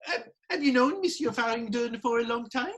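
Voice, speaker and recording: A man playing an ingratiating French restaurant proprietor in a Victorian farce reading, coaxing and effusive in short, halting phrases. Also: extremely high pitch, expressive, a bit bright.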